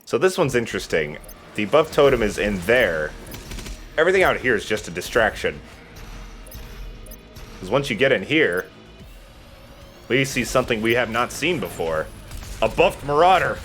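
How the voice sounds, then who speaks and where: A man's voice: medium pitch, sing-song, normal speed, pauses often, fairly bright tone, soft background music. A man giving casual, joking commentary while playing a video game. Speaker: let's play host playing the game.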